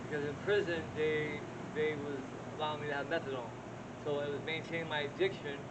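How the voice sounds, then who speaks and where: A man from Connecticut being interviewed outdoors in a park, speaking frankly and haltingly, with lots of fillers. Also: on the high side, little pitch movement, very noisy. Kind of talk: casual interview talk.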